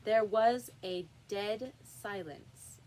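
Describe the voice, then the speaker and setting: A woman reading a children's story aloud in an expressive narrating style. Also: mid-range voice, drawn-out words. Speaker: storybook reader.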